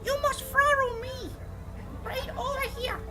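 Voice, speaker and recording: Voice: very high voice, steady tone, measured pace. An actor voicing Pepe's part as a small, crippled, solemn boy guide who speaks formally in a comic audio drama. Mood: solemn, earnest. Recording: loud music behind the voice.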